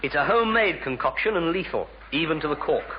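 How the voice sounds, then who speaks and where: A man's voice: a little high, expressive, fast, close to an everyday voice. An actor playing a flustered, bumbling, well-meaning English bachelor in an old British radio sitcom, stammering and tripping over his words. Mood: nervous, wry. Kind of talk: 1950s-60s British radio sitcom acting. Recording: muffled vintage radio recording, faint hiss.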